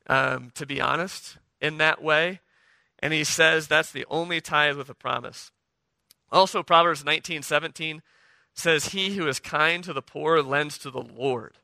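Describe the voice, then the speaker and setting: A middle-aged man preaching a sermon, hoarse from the flu, talking conversationally and often checking in with the congregation. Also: a little high, pushed and bright, no room sound. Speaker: pastor.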